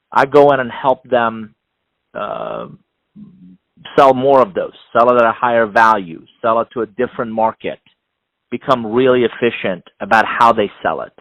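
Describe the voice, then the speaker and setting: A middle-aged man from Iran, a non-native English speaker, giving measured, explanatory answers as an interview guest, often building his points in repeated phrases. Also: mid-range voice, fairly bright tone, no echo, poor audio. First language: not English. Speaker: interview guest, executive coach and author.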